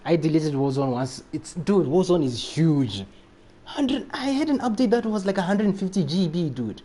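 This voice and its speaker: A man's voice: a little high, expressive, normal speed, dark tone. A man chatting casually and jokily on a game livestream, reacting in slangy, loose phrases. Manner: jokey, slangy, often chuckling. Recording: faint background noise with game sound.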